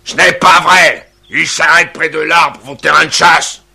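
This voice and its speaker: A man voicing a boastful, hostile rival hunting chief in a cartoon, speaking in a threatening, declamatory way with a gravelly rasp. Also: fairly high pitch, steady tone, a little slow, pushed and bright, full character voice. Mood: accusing. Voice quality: gravelly, raspy. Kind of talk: animated cartoon acting.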